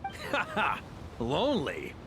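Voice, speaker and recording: A man's voice: on the high side, wildly swooping pitch, slow pace, noisy background, heavily acted. A man playing a bold, wry knight warrior in a video game, with resolute, theatrical delivery. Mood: cocky, amused. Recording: loud music behind the voice.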